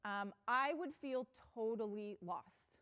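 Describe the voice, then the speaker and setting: A woman's voice: on the high side, lively intonation, takes it slowly, fairly bright tone, clean tone. Earnest woman giving a conference talk. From United States (Pacific Northwest). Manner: earnest, personal, with fillers and restarts. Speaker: conference speaker. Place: conference stage.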